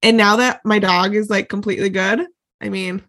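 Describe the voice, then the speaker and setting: A young woman co-hosting a podcast while getting over a cold, her voice nasal and stuffed up. A gravelly fry runs through her speech, and she stays bubbly and quick to laugh. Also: medium pitch, steady tone, a bit bright. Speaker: podcast co-host. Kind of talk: casual chat.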